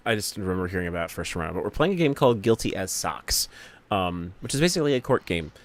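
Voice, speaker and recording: A man's voice: low voice, very animated, fast talker. A man hosting a casual livestream who greets viewers and thinks aloud while setting things up on a home computer mic. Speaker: streamer host.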